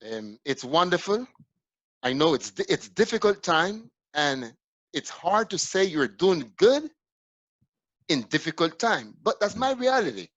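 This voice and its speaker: A man's voice: sits high, big pitch swings, a little slow, a bit bright, no echo. Easygoing man in his fifties, lo-fi call. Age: 50s. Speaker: guest. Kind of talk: casual video chat. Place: daughter's room at home. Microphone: video call.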